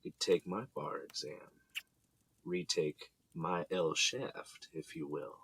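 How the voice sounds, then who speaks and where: A man acting a husband and father in an audio drama. His voice is low and husky, with a bright, forceful edge. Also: expressive, slow, dry recording, exaggerated voice. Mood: flirtatious, coaxing. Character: husband and father, amorous with wife, frantic in crisis.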